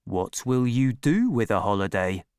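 A reader voicing a gentle village blacksmith who speaks quietly and calmly in a lowered voice. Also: unhurried, clear, resonant tone, no echo, clean studio sound. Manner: quiet and calm.

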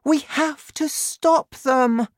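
A woman voicing an excitable, determined little girl in a children's story, speaking in an eager, dramatic way. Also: a little high, takes it slowly, no echo, clean studio sound, pitched above the performer's own voice, exaggerated voice. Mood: alarmed.

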